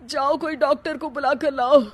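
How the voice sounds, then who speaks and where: A woman's voice: sits high, fairly even intonation, moderate pace, no room sound, lightly acted. A woman voicing a whiny, melodramatic husband in a cartoon family story, wailing in an exaggerated way. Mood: wailing in pain.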